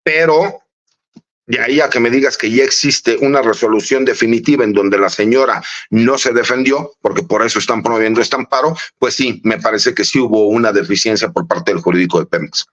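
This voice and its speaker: A man in his late forties, a lawyer, giving casual analysis in an interview and speaking warmly and familiarly to the host. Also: mid-range voice, brisk, muffled recording. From Mexico. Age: late 40s. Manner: warm, conversational, often says "mi querido Manuel". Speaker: lawyer and political analyst guest.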